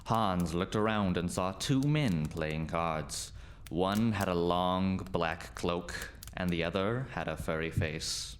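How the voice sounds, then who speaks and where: Male narrator for spooky audio tale: low voice, unhurried dramatic reading, faint noise behind. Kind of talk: scripted spooky storytelling for radio play.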